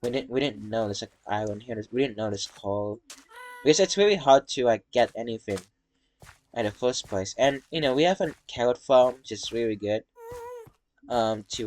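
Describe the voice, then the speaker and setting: A young man from Indonesia narrating a tour of his game world in a halting, rambling way, tired from talking. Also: on the low side, darkish tone. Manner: halting, rambling, many fillers and restarts. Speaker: Minecraft world tour vlogger. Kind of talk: casual gameplay walkthrough narration.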